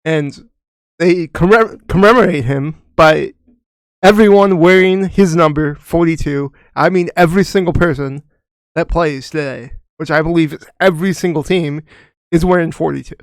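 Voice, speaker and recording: A young man co-hosting a casual podcast, talking earnestly and halting with restarts. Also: a little high, no echo. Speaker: podcast co-host. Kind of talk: casual chat.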